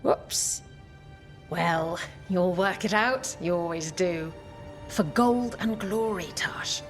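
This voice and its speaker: An actress playing a breezy, easygoing treasure-hunter boss in a fantasy video game. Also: female voice, fairly low pitch, slow pace, soft background music.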